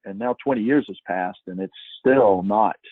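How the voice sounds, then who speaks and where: A man with a fairly low, husky voice speaks as a guest expert in an informal question-and-answer session. He gives advice emphatically. The sound is tinny and narrow, like a phone line. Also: normal speed, no echo.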